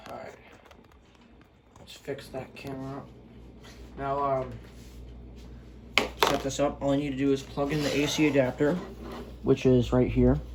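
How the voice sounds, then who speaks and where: A man's voice: medium pitch, heavy background noise. A man giving a casual, unscripted product review in a hesitant, rambling way, on an amateur home recording. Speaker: gadget reviewer.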